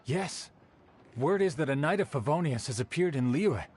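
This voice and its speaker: A man's voice: a little high, lively intonation, normal speed, darkish tone, breathy edge. A man voicing a dutiful, earnest city guard with a formal, official manner in a video game. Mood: formal, proud.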